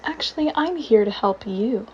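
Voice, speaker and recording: A woman playing a mysterious messenger who speaks calmly and cryptically. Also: fairly high pitch, normal speed, lo-fi sound, slight background noise, close to an everyday voice. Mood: cryptic.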